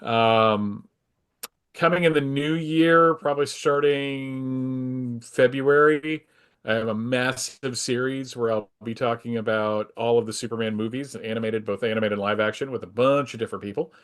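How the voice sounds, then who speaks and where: A man chatting casually as a podcaster guest, halting and a little pedantic like a devoted fan. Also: mid-range voice, steady tone, clean tone. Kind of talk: casual chat.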